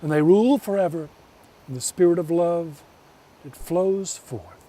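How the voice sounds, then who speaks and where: A man telling a folk tale as a storyteller, theatrical, with deliberate pauses. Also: a little high, mellow, far from the mic.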